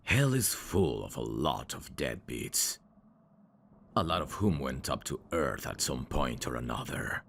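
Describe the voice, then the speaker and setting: A man playing a suave, teasing demon in an intimate ASMR roleplay, courteous and flirtatious, with a gravelly voice. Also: a bass voice, sing-song, unhurried, a bit bright, slight background noise, heavily acted. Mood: thoughtful, explanatory. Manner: smooth-talking, flirtatious, formal phrasing with hesitations. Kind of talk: intimate ASMR roleplay acting addressed to the listener.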